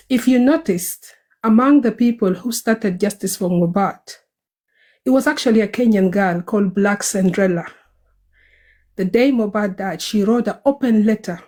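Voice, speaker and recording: A woman's voice: medium pitch, darkish tone. A woman with a South Sudanese accent speaking earnestly and a bit hurriedly in an online group audio chat.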